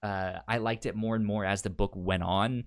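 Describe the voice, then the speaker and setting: A man hosting a casual book discussion show, talking informally and haltingly with a stutter that repeats sounds and syllables. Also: on the low side, fairly even intonation, smooth. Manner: halting, informal, thinking aloud. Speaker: podcast host.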